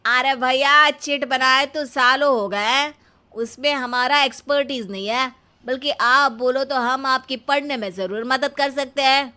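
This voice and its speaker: A woman's voice: high-pitched, measured pace, bright, forceful tone, smooth. A woman voicing an earnest, studious young male cousin who speaks emphatically and respectfully in a story. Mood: earnest protest.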